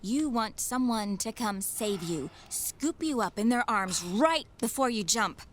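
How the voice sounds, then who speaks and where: Radio drama caregiver, female: highish voice, caring but probing, dryly teasing, persuasive, naturalistic delivery. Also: expressive, measured pace, a little hiss or hum. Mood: blunt, calm. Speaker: actor in an audio drama.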